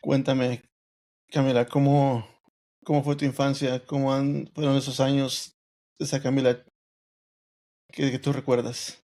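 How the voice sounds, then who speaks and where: A man hosting a podcast, asking guests steady, encouraging questions in a casual interview. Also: mid-range voice, unhurried, pauses often. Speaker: podcast host.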